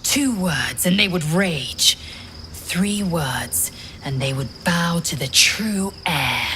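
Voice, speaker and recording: Game role: fervent warrior woman, lowish voice, solemn and declamatory, over clear insect noise. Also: expressive, slow, sharp tone, heavily acted. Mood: fervent, reverent. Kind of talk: dramatic game dialogue.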